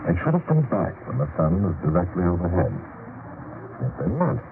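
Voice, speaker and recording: An actor in a 1940s radio drama playing a worried disciple, earnest and theatrical. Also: male voice, deep voice, big pitch swings, a little fast. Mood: anxious. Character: worried male disciple watching for missing companions. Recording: noisy tinny 1940s radio broadcast.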